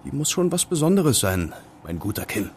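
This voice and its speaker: A man's voice: medium pitch, very animated, moderate pace, a little mellow, slight background noise, lightly acted. An actor playing a curious, wary young male student sleuth in an audio drama, conversational and musing. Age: young adult. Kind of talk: German audio drama acting.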